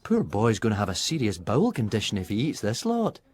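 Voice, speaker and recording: A man's voice: on the low side, wildly swooping pitch, brisk, darkish tone. A man voicing a sassy teenage girl in an audiobook, bossy and mocking with an emphatic delivery. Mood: teasing.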